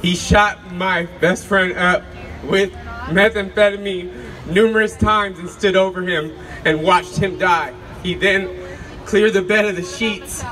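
A young man speaking nervously and haltingly at an outdoor rally. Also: sits high, bright, forceful tone. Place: outdoor street rally stage. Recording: distant mic, street and crowd noise.

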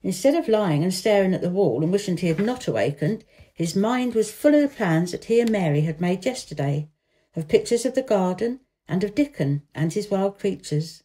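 A woman narrates a children's story from the page. Her voice is soft-edged and mellow, at a middling pitch for a woman. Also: animated, moderate pace. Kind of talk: scripted narration of a children's novel.

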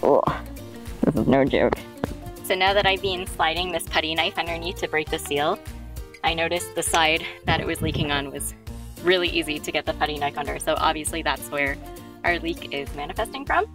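A young woman chats casually through her boat projects outdoors on a sailboat. Her voice sits a little low, and she laughs easily. Clear music plays under her voice. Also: brisk. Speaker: sailing vlog co-host. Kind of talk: casual vlog narration.

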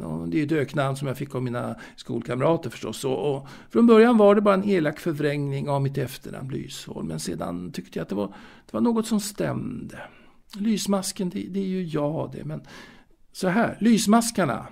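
A male actor playing a wistful, bookish, secretive man who recalls his youth in a halting, confiding audio drama monologue, with a creaky voice. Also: on the high side, a little mellow. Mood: confiding, reflective. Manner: hesitant, halting, repeating words.

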